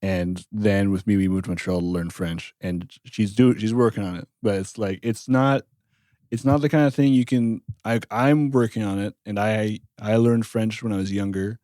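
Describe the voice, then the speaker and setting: A man in his thirties, a jazz bassist, chatting in an interview with a loose, rambling storytelling manner. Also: moderate pace, mellow, no echo, clean studio sound. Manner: rambling storyteller, frequent "like" and restarts. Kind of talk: casual interview chat.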